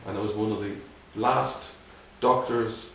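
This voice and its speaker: A middle-aged man with a Dublin Irish accent, answering interview questions in a halting way, with many restarts. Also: fairly low pitch, steady tone, noisy background. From Ireland. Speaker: guest, doctor. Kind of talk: conversational interview. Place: museum room. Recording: echoey room, from a distance.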